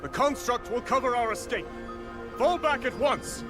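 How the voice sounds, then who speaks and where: A man's voice: extremely high pitch, normal speed, over music. A man playing an urgent battlefield officer in a video game, giving clipped commands.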